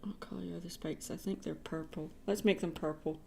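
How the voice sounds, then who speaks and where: A woman's voice: on the low side, steady tone, fairly quick, vocal fry, a little mellow, slight background noise. A woman chatting casually and playfully while livestreaming her artwork. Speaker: digital artist livestreaming.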